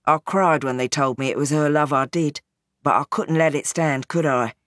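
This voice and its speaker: A woman reads as an aging crime boss in a storybook, low and heavy, calm and unrepentant. His deep voice sits far below the narrator's, sounding tired and settled. The sound is dry and close. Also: normal speed, no echo. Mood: resigned.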